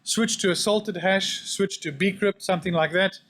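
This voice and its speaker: A man presents a technical talk to a conference audience. His voice is slightly high for a man. He talks briskly, with frequent uhs and restarts. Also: steady tone, a bit bright, a little hiss or hum. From South Africa. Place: conference hall.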